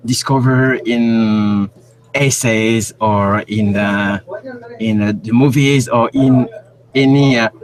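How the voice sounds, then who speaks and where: A man's voice: mid-range voice, a little mellow, low-quality recording. A man from Cameroon, a guest researcher speaking on a remote call, who often stops to search for words. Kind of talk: interview on a call.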